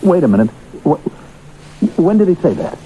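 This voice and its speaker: A man's voice: on the high side, big pitch swings, normal speed, dark tone. An actor in a radio drama playing a middle-aged ex-convict handyman, anxious, humble and earnestly defensive. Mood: protesting, anxious. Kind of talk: radio drama acting with first-person narration. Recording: muffled old radio broadcast, faint noise.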